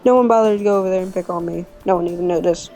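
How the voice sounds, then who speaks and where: A woman's voice: lowish voice, racing, dark tone, soft background music. A young woman chatting casually and telling rambling stories, with a giggly manner. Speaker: video co-host. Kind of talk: casual storytelling chat over a game.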